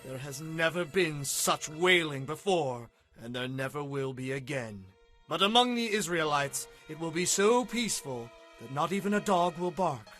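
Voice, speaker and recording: A righteous, unyielding prophet in a Bible audio drama. The voice is fairly high, bright and forceful, declaiming solemnly and defiantly over faint music. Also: male voice.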